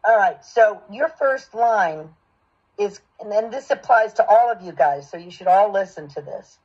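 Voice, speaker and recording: A woman voice acting coach with a husky voice, giving encouraging, explanatory direction and feedback. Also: mid-range voice, lively intonation, normal speed, darkish tone. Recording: dull, lo-fi sound.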